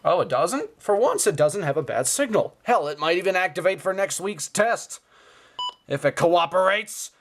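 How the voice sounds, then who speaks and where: Guy with a kinda high voice doing casual excitable show and tell, noticeable background noise. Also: moderate pace. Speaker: video host. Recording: clearly noisy home recording.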